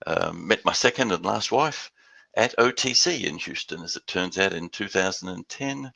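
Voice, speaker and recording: An older man with an Australian accent giving a webinar presentation, halting and repeating words as he goes. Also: low-pitched, animated, vocal fry, sharp tone, husky, no echo. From Western Australia. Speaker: guest speaker.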